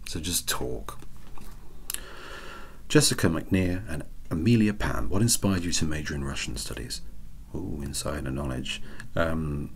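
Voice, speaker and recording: A soft-spoken man, reading out questions and answering them in a wry, self-deprecating way. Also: extremely low pitch, gravelly, slight background noise. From Wales. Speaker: ASMR channel host.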